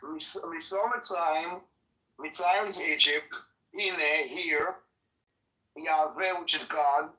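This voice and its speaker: A man whose first language is Hebrew argues in an online debate, insistent and repetitive, stumbling over his words when pushed. His voice is bright and pitched a little high. It sounds roomy and set back from the mic. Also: fairly even intonation, slow pace, recorded from a distance. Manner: insistent, repeats himself, stammers when pressed.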